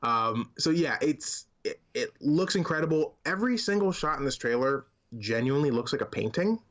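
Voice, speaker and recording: A man's voice: medium pitch, lively intonation, moderate pace, a bit bright. A man co-hosting a podcast, chatting casually about movies in an enthusiastic, informal and emphatic way. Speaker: podcast co-host.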